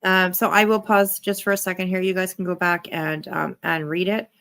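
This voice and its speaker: A woman hosting a casual online stream, chatty and quick to repeat words of agreement. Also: fairly low pitch, level tone, gravelly, fairly bright tone. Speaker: stream host.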